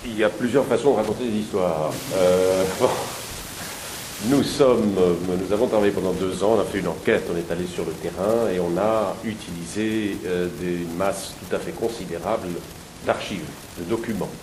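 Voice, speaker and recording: A man's voice: low voice, moderate pace. A man, a theatre director, speaking assertively about his company's work. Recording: echoey room, hissy.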